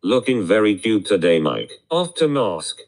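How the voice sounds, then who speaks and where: A computer-generated male voice reading out short viewer messages with an even, synthetic delivery. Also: on the low side, moderate pace. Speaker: text-to-speech reader of viewer chat.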